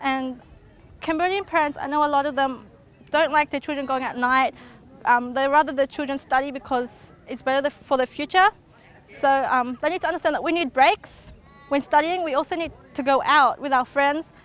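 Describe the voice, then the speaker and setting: High-voiced young Australian woman, earnest. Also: fairly even intonation, normal speed, fairly bright tone. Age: teens to young adult. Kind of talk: earnest interview answer.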